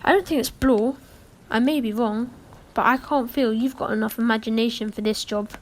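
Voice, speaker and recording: A woman acting a cool, wry gentleman with a teasing dark humour in a radio play. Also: on the high side, normal speed, natural voice. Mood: wry, probing.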